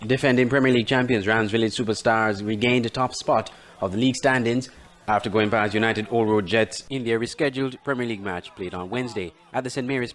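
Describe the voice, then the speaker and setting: A man reading scripted sports news as a newsreader. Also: on the low side. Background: music bed.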